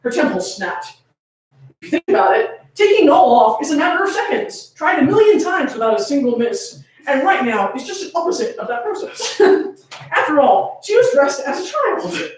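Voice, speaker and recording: A woman's voice: high-pitched, sing-song, moderate pace. A woman giving a dramatic, comedic reading of fan fiction, with an amused manner. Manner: amused, often breaking into laughter while reading. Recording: echoey room, distant microphone.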